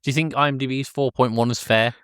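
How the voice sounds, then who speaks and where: A man's voice: mid-range voice, fairly even intonation, fast talker, a bit bright, smooth, dry recording. Man hosting a casual podcast, close dry sound. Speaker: podcast host. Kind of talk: casual film discussion podcast.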